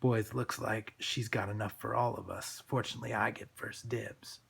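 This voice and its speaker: A man voicing a sadistic, cocky street thug from a comic book in a breathy, rasping voice. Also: lowish voice, little pitch movement, normal speed, a bit bright, heavily acted. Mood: leering.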